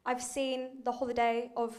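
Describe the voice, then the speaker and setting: A woman's voice: on the high side, level tone, normal speed, darkish tone. A young woman from Turkey, a researcher in the audience, asking a chatty question with frequent "um"s.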